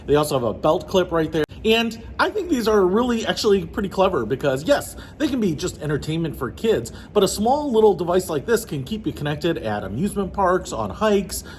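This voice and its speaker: A man's voice: on the high side, a little hiss or hum. A man giving an upbeat, informal product review to camera as the host, with punchy, slangy phrasing.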